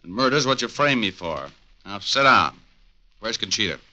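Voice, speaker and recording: An actor playing a tough, wisecracking private eye who talks in a sardonic, hard-boiled way, in 1940s radio acting style. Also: male voice, lowish voice, animated, moderate pace, pushed and bright, highs cut off.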